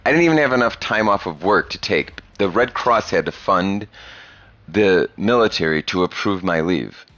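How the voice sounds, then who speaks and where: Podcast host, man, 30s, low voice, blunt and profane, close dry mic. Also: lively intonation, moderate pace, fairly bright tone, no room sound. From Chicago area. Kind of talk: casual chat.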